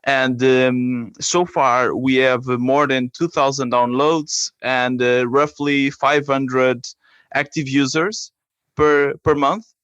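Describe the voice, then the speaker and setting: A man from Portugal with a Portuguese accent, explaining his work haltingly as a guest in a conversational interview. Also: mid-range voice, little pitch movement, a bit bright, clear, resonant tone, no room sound. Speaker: app creator, podcast guest.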